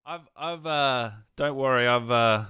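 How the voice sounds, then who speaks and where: A man hosts a live stream in casual chat, with a bright, forward voice that is clean and resonant. He talks informally and chummily, and the sound is close and dry. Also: medium pitch, unhurried, smooth, dry recording. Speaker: streamer host.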